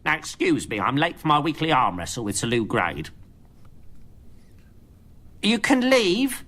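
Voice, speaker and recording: An actress playing a bossy, sarcastic film company executive in a radio comedy, with a scornful, emphatic delivery. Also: female voice, deep voice, very animated, measured pace, a bit bright, full character voice. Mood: scornful, dismissive.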